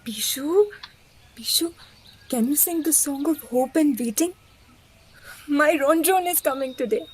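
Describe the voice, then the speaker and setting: A woman's voice: high voice, unhurried. A woman playing a compassionate, bold young idealist in an audio play, with heartfelt and dramatic delivery. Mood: hopeful, curious.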